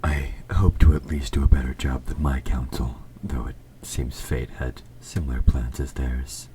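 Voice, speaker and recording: A man playing an evil, possessive king in an audio roleplay, speaking formally and with measured, quiet menace, his S sounds sharp. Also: rumbling low, fairly even intonation, slight background noise. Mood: coolly testing. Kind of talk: scripted audio roleplay, intimate dramatic monologue.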